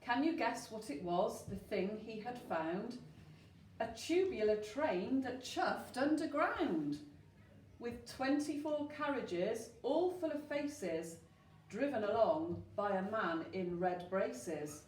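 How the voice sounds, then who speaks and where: A woman reading a rhyming children's story aloud, expressive and putting questions to the listeners. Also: fairly high pitch, slow pace. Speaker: storyteller at a school. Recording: roomy, distant mic with faint noise.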